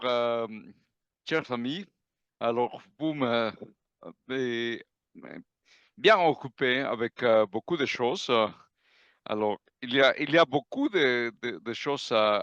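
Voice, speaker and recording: A man who is not a native speaker giving a grammar report at an online club meeting, halting with many restarts. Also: mid-range voice, fairly bright tone, clear, resonant tone, dry recording, muffled recording. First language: not French. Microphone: video call.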